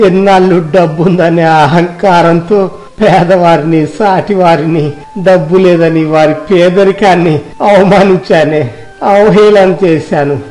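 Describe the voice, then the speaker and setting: A man playing an arrogant rich village husband in a moral story, complaining and exclaiming in drawn-out phrases. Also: sits high, steady tone, darkish tone, faint music behind, low-quality recording. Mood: remorseful. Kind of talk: acted moral-story dialogue.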